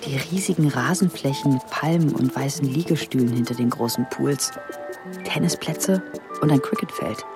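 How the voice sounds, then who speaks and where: An actress playing a naive, curious seventeen-year-old secretary in a radio drama, acting and narrating in a questioning way. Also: female voice, extremely low pitch, normal speed, over music. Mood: reflective, impressed. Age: young adult.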